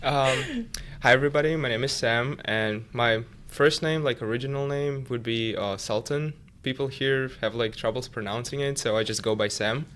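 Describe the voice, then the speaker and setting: A man's voice: mid-range voice, moderate pace. Young guy, russian speaker, chatting easy on a podcast, says like a lot, mid voice, faint hiss behind. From Uzbekistan. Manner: relaxed, leans on "like" as filler. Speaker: podcast guest, student tutor. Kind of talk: casual interview chat.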